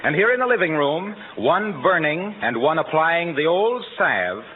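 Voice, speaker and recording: Animated man announcing on vintage radio. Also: a little high, measured pace, a little hiss or hum. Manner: polished, salesmanlike. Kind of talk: 1940s radio announcing, scripted sponsor pitch. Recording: narrow-band vintage radio, faint music.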